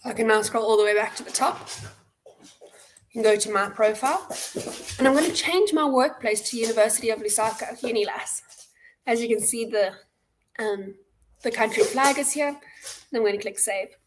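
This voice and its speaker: A South African woman with a fairly high voice leads a live online tutorial. She explains each step in an informal, instructional way, as a host guiding participants through a platform. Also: normal speed, long pauses, background noise. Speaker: hackathon host and presenter. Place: indoor room, on a livestream webcam.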